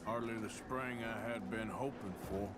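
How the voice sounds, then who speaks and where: An actor playing a wry, gruff, loyal outlaw gunman in a western video game. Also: lowish voice, steady tone, moderate pace, lightly acted. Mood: weary. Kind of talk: cinematic western game acting. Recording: game soundtrack music underneath.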